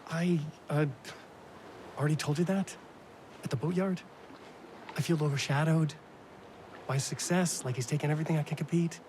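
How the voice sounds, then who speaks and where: A man's voice: on the high side, steady tone, moderate pace, long pauses, a little mellow, slight background noise, close to an everyday voice. A man playing a cocky, taunting evil twin in a video game, with a theatrical, mocking delivery. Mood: flustered. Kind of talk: hammy game villain acting.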